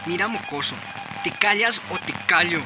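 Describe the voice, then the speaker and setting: A bullying teenage gang leader in a radio play, acted by a man, with a Bolivian accent. His voice is very high for a male, slangy and commanding. Music runs behind him. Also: moderate pace, natural voice, poor audio. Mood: threatening.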